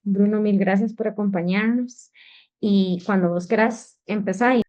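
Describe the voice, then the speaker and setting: A woman's voice: medium pitch, steady tone, measured pace, darkish tone, lo-fi sound. Costa Rican woman moderating a lecture. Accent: Costa Rican Spanish. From Costa Rica. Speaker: moderator, anesthesiologist. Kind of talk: introducing a speaker.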